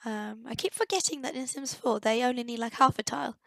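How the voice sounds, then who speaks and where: A young woman giving a casual voice-over commentary on a game build, slightly croaky and hesitant, often searching for her words. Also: fairly high pitch, brisk, no room sound.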